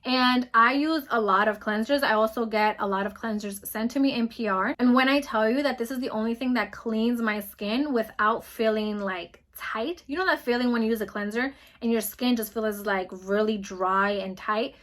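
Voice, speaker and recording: Fast-talking New York woman in her twenties. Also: on the high side, a bit bright. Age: 20s. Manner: fast-talking, bubbly and emphatic. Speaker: beauty vlogger. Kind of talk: casual product review vlog.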